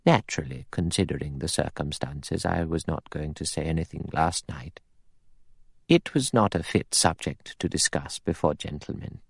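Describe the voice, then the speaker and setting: A severe old spinster from a storybook, acted out by a man. She is creaky and softly spoken, with brisk, precise diction. Her manner is coldly pious and disapproving, and the sound is close and dry. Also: very deep voice, no room sound, heavily acted. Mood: serene disapproval. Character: prim, pious, severe elderly spinster, coldly self-righteous.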